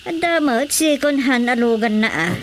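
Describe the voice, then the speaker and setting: A lively, familiar village kinswoman voiced by a woman in a family radio comedy. Her voice sits on the high side with an Ilocano accent, over faint background noise and crickets. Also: moderate pace, close to an everyday voice. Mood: chatty.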